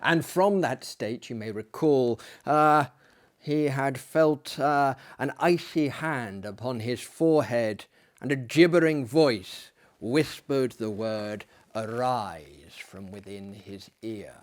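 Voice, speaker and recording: A man who performs dramatic readings, speaking in a hammy, florid, self-deprecating storyteller's manner that swells and hushes. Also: medium pitch, takes it slowly.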